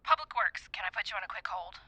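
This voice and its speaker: A woman's voice: medium pitch, lively intonation, fast talker. A woman playing a businesslike, noncommittal public works clerk in an audio drama, heard through a telephone filter. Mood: brisk.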